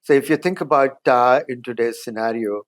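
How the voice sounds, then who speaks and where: Indian-accented man, measured professor. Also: mid-range voice. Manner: measured, explanatory, frequent "uh" pauses. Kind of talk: interview answers.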